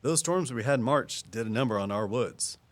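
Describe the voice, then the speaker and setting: Folksy actor as a small-town conservation man, medium-pitched. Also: animated, fairly quick, close to an everyday voice. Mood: measured, puzzled. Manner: folksy, patient, explanatory. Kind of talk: audio drama dialogue.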